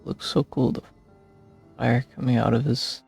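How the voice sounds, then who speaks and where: A man with a gravelly, husky voice giving casual live commentary while streaming a video game. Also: medium pitch, fairly even intonation, a little slow, darkish tone, faint music behind.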